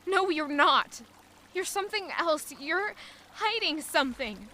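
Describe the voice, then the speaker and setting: An actress playing a young maid who is defensive and in love, thinking aloud with strong emotion in an audio drama. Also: female voice, extremely high pitch, lively intonation, unhurried, bright, forceful tone, a little hiss or hum, heavily acted. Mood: confrontational.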